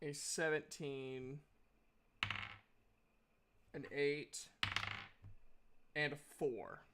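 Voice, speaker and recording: A man casually narrating a tabletop game as game master, halting and thinking aloud as he goes. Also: fairly high pitch, steady tone, very noisy.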